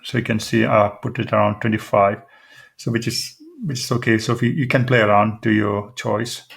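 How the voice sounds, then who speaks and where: A man presents an informal tech tutorial in a fairly low voice. His delivery is flat, with a noticeable fry in it. He explains each step haltingly, often hesitating as he goes. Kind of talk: informal screen-demo tutorial.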